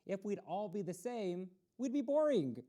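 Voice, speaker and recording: A woman's voice: mid-range voice, big pitch swings, normal speed, dark tone. A woman hosting a children's story time, reading aloud and explaining things simply, posing questions to young listeners.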